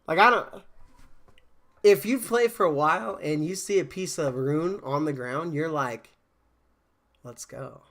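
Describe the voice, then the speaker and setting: A man's voice: fairly high pitch, a little slow, long pauses, a bit bright. A man casually chatting with viewers on a game stream, rambling with fillers and restarts, a bit congested from a cold. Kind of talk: casual live-stream chat.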